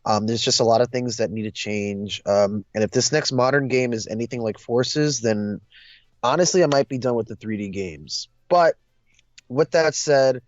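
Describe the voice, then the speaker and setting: A young man co-hosting a casual discussion, talking in a hesitant, halting way. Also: medium pitch, a little mellow, no room sound, highs cut off. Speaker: discussion co-host. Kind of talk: casual chat.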